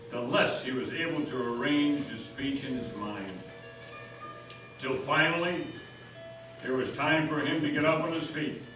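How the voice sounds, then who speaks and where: Casting: male narrator, measured and theatrical, higher-pitched, heard from a distance in a very echoey meeting hall. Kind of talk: dramatic reading of a story. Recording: echoey hall from far off, noisy.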